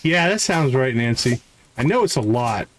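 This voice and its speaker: A man's voice: medium pitch, very animated, moderate pace, slight background noise. A man doing casual live commentary as he plays a game, chatting in a friendly way with viewers. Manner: friendly, greets viewers by name. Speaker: livestream host playing a farming game.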